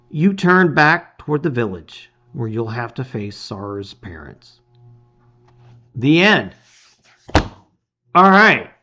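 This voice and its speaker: A man reading a gamebook aloud in expressive, emphatic storytelling, with casual asides. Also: mid-range voice, lo-fi sound.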